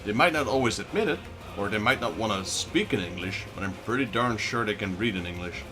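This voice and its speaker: A man's voice: on the low side, animated, brisk, over music. A man with a Dutch accent, a native Dutch speaker, giving casual let's play commentary and chatting with his viewers. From Netherlands. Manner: chatty, talks back to viewer chat.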